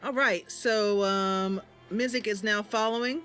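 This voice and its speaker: Slow-paced woman narrating her gameplay. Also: medium pitch, steady tone, a bit bright, soft background music. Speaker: gamer hosting a roleplay series. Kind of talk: casual gameplay commentary and narration.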